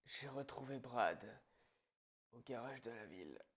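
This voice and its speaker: A man playing a calm psychopathic killer in an ASMR roleplay, speaking lines in a hushed, darkly casual way that slips into whispers. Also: mid-range voice, measured pace, husky. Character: calm, menacing male psychopathic killer, falsely reassuring his captive.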